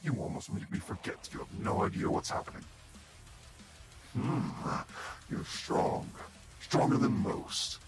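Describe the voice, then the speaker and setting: A man voicing a taunting monster villain for a game character reel, with a breathy, whispery rasp and a mocking, theatrical delivery. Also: fairly low pitch. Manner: slow, deliberate, mocking, theatrical. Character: sinister taunting male monster villain, menacing, patient and mocking. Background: prominent music.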